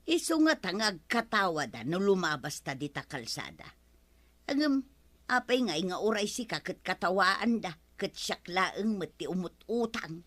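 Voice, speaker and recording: A woman with an Ilocano accent playing a scheming, complaining village housewife in a comedy radio drama, expressive and grumbling. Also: lowish voice, moderate pace, dry recording. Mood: indignant.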